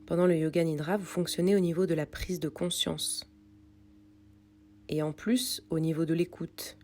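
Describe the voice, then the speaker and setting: A woman reading a guided yoga nidra meditation in a calm, soothing, unhurried way with pauses. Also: deep voice. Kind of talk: guided meditation read from a script.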